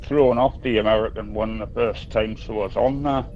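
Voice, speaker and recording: A man's voice: lowish voice, fairly even intonation, moderate pace, a loud crowd. A man from Newcastle with a Geordie accent, calling in on his phone and chatting in a rambling, hesitant way. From Newcastle upon Tyne. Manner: rambling, hesitant, lots of ums. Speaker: caller. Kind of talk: casual chat. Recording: dull muffled phone mic.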